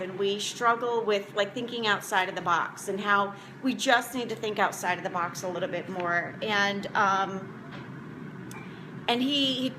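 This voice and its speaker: Rambling woman in her forties, noisy recording. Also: medium pitch. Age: 40s. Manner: rambling, conversational, addressing viewers. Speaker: motivational vlog host and food prep coach. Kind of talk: casual chat to camera.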